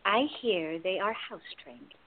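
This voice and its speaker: A woman playing a wry, devoted lover in a radio play, husky-voiced, with dry, understated banter. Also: lowish voice, lively intonation, a little slow, slight background noise. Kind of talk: amateur radio play acting. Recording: thin phone-like audio, dry.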